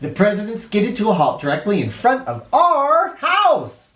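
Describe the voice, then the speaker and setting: Animated man reading a storybook, very lively pitch. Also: normal speed. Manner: theatrical, playful. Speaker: children's storybook reader, host. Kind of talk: animated read-aloud narration with asides. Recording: roomy echo.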